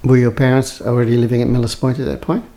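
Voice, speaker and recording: A man interviews a subject for an oral history, speaking at a middling pitch. His voice is warm and mellow. He asks his questions calmly and methodically. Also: fast talker. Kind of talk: formal interview introduction and questions. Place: home in Cremorne, Sydney.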